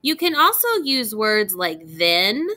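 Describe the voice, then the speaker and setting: A woman reading a picture book aloud as a teacher would, phrasing it deliberately for young children and addressing them directly. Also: medium pitch, very animated, sharp tone.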